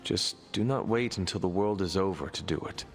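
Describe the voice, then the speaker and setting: A fairly low, reverberant voice an earnest hero in a game cutscene. He speaks calmly and formally, weighing each phrase, with music behind. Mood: gentle counsel. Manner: measured, formal, few contractions. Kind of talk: video game cutscene acting.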